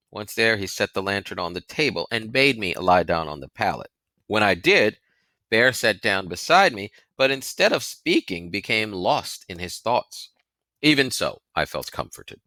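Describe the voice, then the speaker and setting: A man's voice: on the low side, sharp tone, no echo. A man reading a novel aloud as a dramatic, scripted narration. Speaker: audiobook reader.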